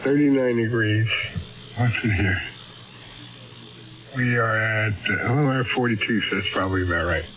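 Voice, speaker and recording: A man's voice: lowish voice, moderate pace, pauses often, highs cut off, background noise. A man chatting casually as an amateur radio operator over a radio link. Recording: ham radio audio with background voices.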